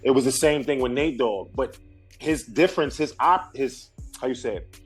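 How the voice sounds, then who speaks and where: A man from Brooklyn, a guest artist telling stories in a relaxed, slangy way, often restarting his sentences. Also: medium pitch, moderate pace, slightly rough, soft background music. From Brooklyn, New York. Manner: laid-back storytelling with slang and restarts. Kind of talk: casual interview talk.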